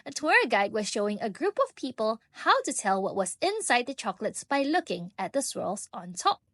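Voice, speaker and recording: A woman reading a children's book aloud in an expressive, animated way, drawing out key phrases for young listeners. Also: fairly high pitch, moderate pace, dry recording. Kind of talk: expressive storybook read-aloud.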